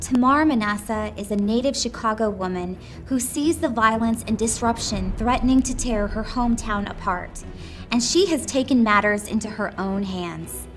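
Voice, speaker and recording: Higher-pitched woman reading a scripted tribute. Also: moderate pace. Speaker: company employee reading submission stories. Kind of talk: scripted tribute narration, read aloud. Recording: music behind the voice. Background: background music.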